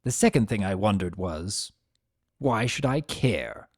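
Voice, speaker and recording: A man's voice: fairly low pitch, animated, unhurried, darkish tone, no echo, studio quality, pitched below the performer's own voice. A man voicing a vain, spiteful spirit of chaos trapped as a statue, telling a story in a theatrical, sardonic, disdainful way. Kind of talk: first-person dramatic fan fiction reading.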